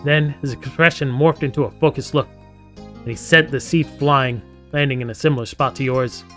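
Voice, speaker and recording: A man's voice: mid-range voice, steady tone, moderate pace, a bit bright, soft background music. A man hosting a gaming live stream, playfully reading story text aloud and breaking off into theatrical, jokey asides.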